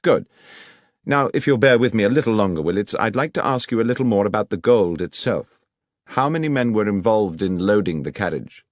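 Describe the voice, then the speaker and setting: A man playing a brisk, self-assured gentleman detective with a crisp, formal, commanding manner in an audiobook. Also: on the low side, expressive, dry recording, studio quality, close to an everyday voice. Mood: brisk, inquiring.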